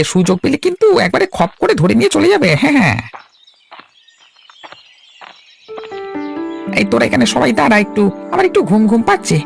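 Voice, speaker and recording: A woman's voice: fairly high pitch, vocal fry, full character voice. A woman voicing a chatty young goatherd calling out to the animals in a cartoon. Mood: bossy, drowsy. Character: young goatherd, drowsy then worried, chatty. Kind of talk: cartoon dubbing.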